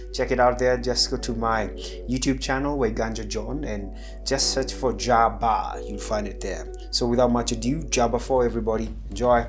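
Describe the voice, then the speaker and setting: A man hosting a podcast and narrating radio drama. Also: medium pitch. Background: music.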